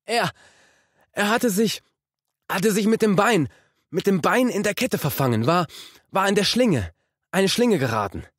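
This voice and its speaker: A panicked diver gasps out his words in a voice pushed far above the reader's own, stammering and tripping over every phrase. He is completely out of breath. The tone stays husky. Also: high-pitched, expressive, a little slow, hardly any pauses, a bit bright, dry recording, clean studio sound, pitched above the performer's own voice, heavily acted. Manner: stammering, repeating himself.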